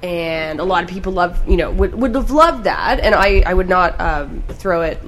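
Chatty woman comedian, lowish voice. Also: animated, moderate pace, slight background noise, rough recording. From born in Brazil, raised abroad and in Canada. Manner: chatty, self-deprecating, laughs easily. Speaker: comedian guest. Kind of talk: casual interview chat.

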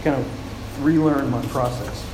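A man preaching a sermon, soft-spoken and often repeating words as he restarts a thought, after reading scripture aloud. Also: medium pitch, steady tone, unhurried. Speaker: pastor. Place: church with a large echoing room. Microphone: handheld mic. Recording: echoey, reverberant hall with noise.

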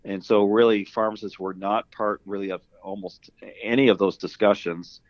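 A man's voice: lowish voice, fairly even intonation, moderate pace, no room sound. A middle-aged man with a Canadian accent answering interview questions conversationally, rambling with plenty of "you know" and "kind of". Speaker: guest, university pharmacy dean. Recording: dull, lo-fi voice track.

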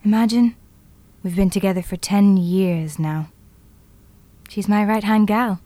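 A self-assured woman futurist played by an actress in an audio drama. Her voice sits at a medium pitch, with a brisk, matter-of-fact delivery and natural conversational acting. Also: warm tone, no room sound, lightly acted. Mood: proud, amused.

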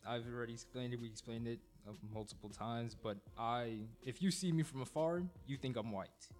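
A man's voice: medium pitch, a little fast, a little mellow. A man from New Jersey co-hosting a podcast, speaking matter-of-factly about the news and his own experience. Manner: matter-of-fact, shares news and personal experience. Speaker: podcast co-host. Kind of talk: casual podcast discussion.

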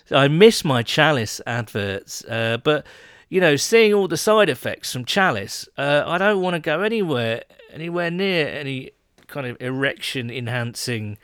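A man's voice: a little high, lively intonation, moderate pace, a bit bright, clean tone, no room sound. Giggly man on a dry mic. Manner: giggly, rambling, reads listener questions. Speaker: podcast co-host. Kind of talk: casual chat.

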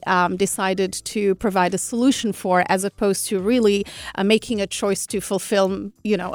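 A middle-aged woman from Algeria, a fashion entrepreneur answering radio interview questions in a measured, thoughtful way with frequent fillers. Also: mid-range voice, clean tone, no echo. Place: radio studio.

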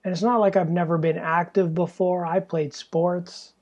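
A young man talks into a podcast mic in a small, stuffy room, his voice worn hoarse and husky from fatigue. His tone is dark and mellow, and he rambles along in a loose, candid way, swearing freely as he goes. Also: high-pitched, flat delivery, normal speed. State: tired and hoarse, losing his voice. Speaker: podcast host. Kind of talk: casual solo podcast monologue.

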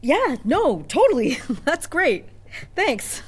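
An actress playing a wary, sharp-tongued young office employee in a satirical audio drama, speaking in a dry, hesitant, conversational way. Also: female voice, fairly low pitch, lively intonation, normal speed, a little hiss or hum, lightly acted. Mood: flustered. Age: young adult.

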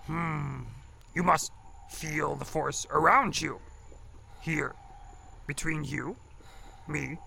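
Tiny ancient alien sage, very creaky, bright strained voice, stern wise teacher, inverted phrasing, audio drama. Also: male voice, fairly high pitch, slight background noise, exaggerated voice. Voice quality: croaky, gravelly, strained. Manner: inverted word order, slow deliberate teaching. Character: ancient small gnarled alien Jedi master, wise and stern.

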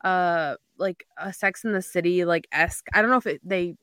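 A woman's voice: fairly low pitch, normal speed, a bit bright, no echo. A woman co-hosting a book podcast in casual chat, rambling and informal, peppering her talk with "like". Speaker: podcast co-host reviewing books.

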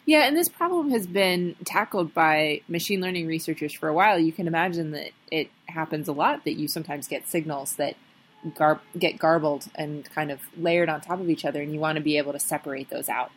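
Lowish-voiced woman co-host explaining. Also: a little fast. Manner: thoughtful, explains with frequent ums and restarts. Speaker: podcast co-host. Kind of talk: casual explanatory chat.

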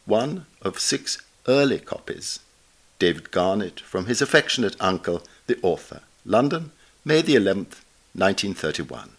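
Male narrator, lowish register, for a formal, measured reading of a literary essay. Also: big pitch swings. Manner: measured, formal, reading punctuation and dates aloud. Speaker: reader of a recorded article.

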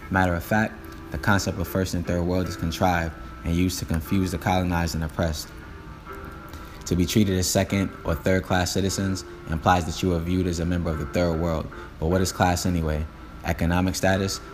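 A man giving a steady, declamatory spoken-word speech with a repeated refrain. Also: deep voice. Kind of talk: recorded spoken-word speech over music. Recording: speech over loud music bed.

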